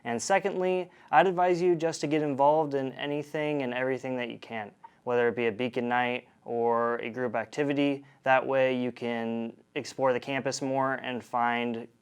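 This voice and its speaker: Young guy from oregon, clean smooth voice, giving steady tips. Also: medium pitch, moderate pace. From Oregon, USA. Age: young adult. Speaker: college student.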